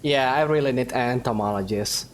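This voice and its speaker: A man's voice: mid-range voice, a little fast, noisy background. A man playing a game on stream, reading dialogue and commenting in a dry, sarcastic way. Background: music.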